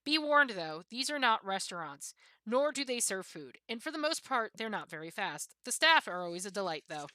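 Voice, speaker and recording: A woman reading humorous prose aloud as a streamer, expressive, with occasional stumbles and self-corrections. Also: medium pitch, normal speed, no room sound. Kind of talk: reading aloud from a comic book of essays.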